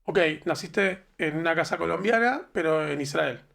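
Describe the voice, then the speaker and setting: A man hosting an interview, asking relaxed questions and joking lightly. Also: a little high, moderate pace, creaky. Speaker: interview host. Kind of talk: casual interview.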